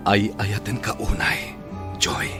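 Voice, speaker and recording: A man's voice: lowish voice, takes it slowly. An actor with an Ilocano accent playing a remorseful, devoted businessman in an emotional radio drama, earnest and pleading. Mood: devoted, tender. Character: remorseful, devoted businessman pleading with his long-lost love. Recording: radio drama with loud music bed.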